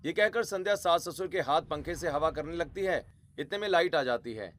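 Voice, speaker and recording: A man's voice: a little high, lively intonation, brisk, a bit bright. A man giving scripted narration of a family story as the narrator.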